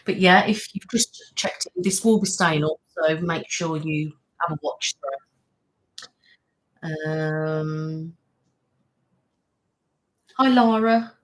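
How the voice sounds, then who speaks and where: Chatty soft-spoken woman greeting viewers. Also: low voice, slow, pauses often. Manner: soft-spoken with filler words. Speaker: ASMR channel host. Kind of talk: casual live-stream chat.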